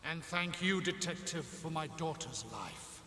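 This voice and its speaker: Formal ancient cartoon villain, bright forceful voice, roomy long reverb, faint hiss. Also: male voice, a little high, animated, unhurried. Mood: stately. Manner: formal, stately delivery. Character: ancient commanding male villain, father, grand and formal.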